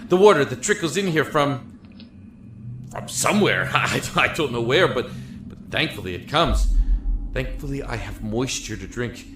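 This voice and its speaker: A man playing a despairing, regretful prisoner in a dark cell, speaking haltingly and reflectively in a dramatic audio monologue. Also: medium pitch, lively intonation, noisy background, natural voice. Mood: numb, weary. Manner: halting, reflective, emotive delivery. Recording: echoey, with music underneath.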